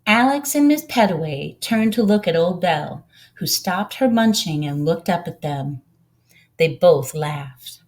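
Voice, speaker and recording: A woman reads a children's storybook aloud as a volunteer narrator, with expressive delivery. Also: fairly low pitch, a little slow.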